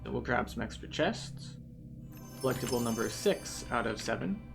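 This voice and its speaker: A game tour guide giving casual walkthrough commentary, explaining things in a matter-of-fact, unhurried way. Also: mid-range voice, animated, gravelly, music in the background.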